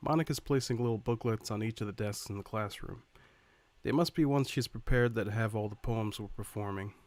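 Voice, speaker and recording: A man playing an earnest, worried high school student who narrates a visual novel in the first person. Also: brisk, darkish tone, dry recording, natural voice. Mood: matter-of-fact.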